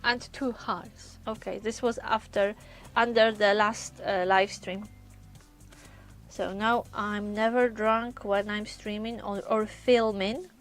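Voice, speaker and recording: A woman with a Polish accent chatting casually on a live stream, often pausing with hesitations as she answers viewers. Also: mid-range voice, steady tone, faint music behind. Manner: chatty, many hesitations, reads viewer comments aloud. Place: lives in a caravan on a UK campsite.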